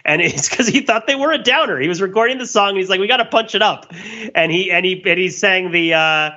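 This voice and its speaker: A man with a fairly high, bright voice and very lively intonation. He co-hosts a casual comedy podcast, explaining things with emphasis and enthusiasm. The recording is a little dull and lo-fi. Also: fairly quick. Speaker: podcast co-host. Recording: slightly dull, lo-fi microphone sound.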